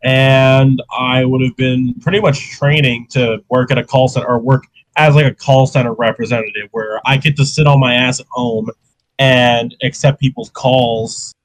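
A man's voice: medium pitch, fairly even intonation, normal speed, poor audio. A young man who is sick and coughing, hosting a casual podcast chat in a rambling, profane way. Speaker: podcast host.

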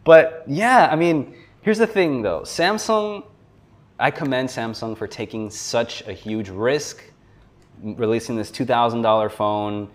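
A young man from South Florida, a tech journalist guest on a podcast, talking in a relaxed, rambling way and laughing often. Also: mid-range voice, a little slow. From South Florida, USA. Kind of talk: casual conversation.

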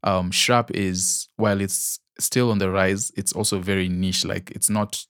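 A young man with a fairly low voice co-hosts a podcast in loose, casual chat. He rambles, backtracks and fills pauses with ums. The recording is close and dry, with no room sound. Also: fairly even intonation, fairly bright tone, clean tone, no echo. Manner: rambling, many ums and restarts, laughs easily. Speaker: podcast co-host.

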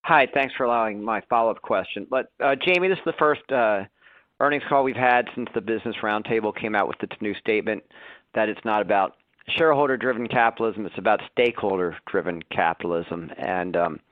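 A man's voice: lowish voice, a little fast. A man speaking as a financial analyst, asking a long, roundabout question off the cuff on an earnings call. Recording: dry, tinny phone line.

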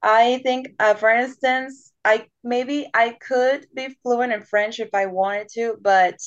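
A woman's voice: fairly high pitch, fairly even intonation, slow. A young woman born in Panama and raised in the United States, a guest chatting casually on a podcast and laughing often. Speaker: guest, language assistant. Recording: dull lo-fi sound.

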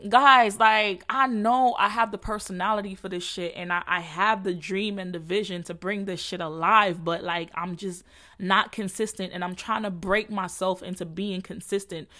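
Young Jamaican woman, solo podcast host, bright and forward voice, casual profane slang. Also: mid-range voice, steady tone, normal speed. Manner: candid, profane, slangy and rambling. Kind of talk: casual solo chat to followers.

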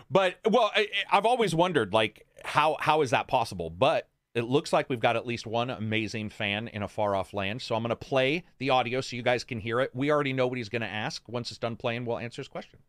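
A man's voice: mid-range voice, animated, brisk, hardly any pauses, a bit bright, no room sound, clean studio sound. A man hosting a gaming podcast in casual, unscripted chat, with an informal and self-deprecating manner.

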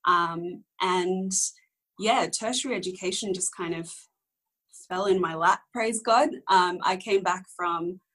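A woman in her twenties, whose was Korean, speaks with a slightly low voice. She tells her story candidly in a casual interview, wandering and correcting herself along the way. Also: unhurried, fairly bright tone. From Australia. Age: young adult. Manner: rambling, candid, many ums and self-corrections. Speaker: interview guest.

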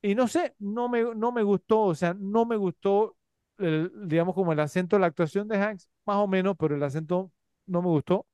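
Male podcast co-host, high clear voice, rambling and filler-heavy. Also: normal speed, darkish tone, no echo, lo-fi sound. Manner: filler-heavy, rambling with frequent tag questions. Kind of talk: casual movie review chat.

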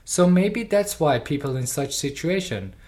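A person from Iran speaks English in a steady, thoughtful lecture performance. Their is Farsi, and the delivery stays earnest and personal, at a middle pitch. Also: measured pace. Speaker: artist and doctoral researcher.

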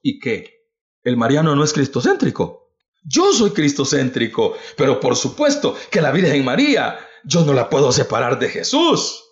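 Fervent man preaching, lively pitch. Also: normal speed. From El Salvador. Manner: fervent, calls listeners "brother", repeats rhetorical questions. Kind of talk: livestreamed devotional talk. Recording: dull lo-fi recording.

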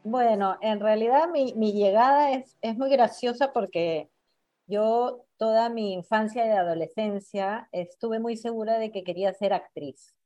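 Young adult woman guest, mellow and unhurried, easygoing interview talk, dry close mic. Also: a little high, no echo, muffled recording, soft background music. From Israel. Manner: relaxed, chatty, laughs lightly while telling her story. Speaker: podcast guest, alternative therapist. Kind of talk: casual interview storytelling.

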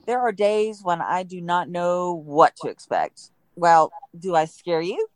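A woman's voice: lowish voice, unhurried, no echo, close to an everyday voice. A woman playing an edgy, eccentric woman, guarded yet frank, in an audio drama. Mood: frank, intense. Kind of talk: amateur audio drama dialogue.

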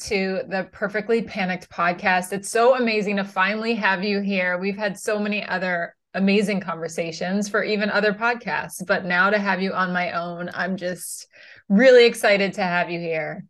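A woman's voice: medium pitch, moderate pace, a bit bright. A middle-aged woman hosting a podcast interview, chatty and upbeat with frequent fillers. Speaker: podcast host.

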